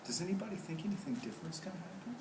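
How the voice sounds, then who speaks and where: Lively male teacher reading in echoey room. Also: sits high, very fast. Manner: encouraging, expressive. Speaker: teacher reading aloud to class. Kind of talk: read-aloud lesson, storytelling with class questions. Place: classroom. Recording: roomy classroom, distant mic, faint noise.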